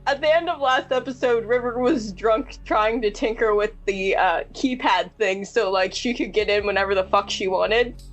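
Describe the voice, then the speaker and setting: A woman's voice: medium pitch, normal speed, few pauses. A woman talking as a player in a tabletop roleplaying game, casual and informal, with a teasing way about her. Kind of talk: casual game chat among friends.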